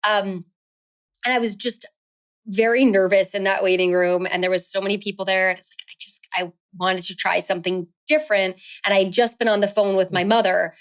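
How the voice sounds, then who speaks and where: A woman from New Jersey, a guest on a podcast, talks at a middle pitch. She is chatty and animated, telling her stories freely. She is recorded close on a studio mic. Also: a little fast. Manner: animated storyteller, bright and chatty. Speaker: actress guest. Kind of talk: casual interview chat.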